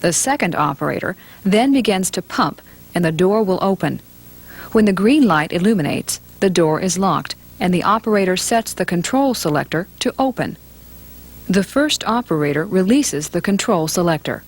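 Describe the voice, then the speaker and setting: A woman reading scripted instructional narration for a training film in a measured, matter-of-fact way. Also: on the low side, animated.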